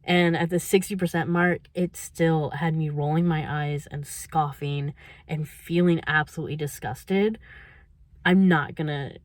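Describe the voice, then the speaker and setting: A chatty young woman talks through her reading week in a loose, rambling way. Her voice sits low and comes across dry and close. She laughs easily and fills her thoughts with little asides. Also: no echo. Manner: chatty, laughs often, many fillers. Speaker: book vlogger. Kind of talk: casual vlog chat.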